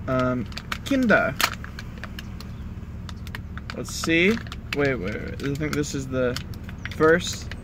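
A man's voice: mid-range voice, animated, very slow, long pauses. Laid-back young guy vlogging in his car. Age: young adult. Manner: laid-back, rambling, swears casually. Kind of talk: casual vlog chat. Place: inside a parked car. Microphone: phone camera on the dashboard. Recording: car air conditioning noise behind. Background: air conditioning blowing.

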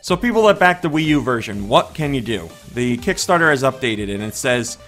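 A man in his thirties with a slightly hoarse voice hosts a casual daily game news show. He talks at a middling pitch in a chatty way, thinking aloud with plenty of fillers and restarts. He speaks close to a studio mic in a small home room, with faint music underneath. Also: expressive, brisk, fairly bright tone. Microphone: large studio condenser mic in front of face.

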